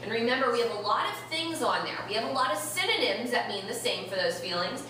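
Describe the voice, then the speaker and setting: Expressive woman teacher in echoey classroom. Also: fairly high pitch. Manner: expressive, instructive, with slowed and stressed key words. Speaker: primary school teacher. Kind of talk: interactive read-aloud lesson to young children. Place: elementary classroom, indoors. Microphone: far camera. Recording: roomy, noisy classroom, distant camera microphone.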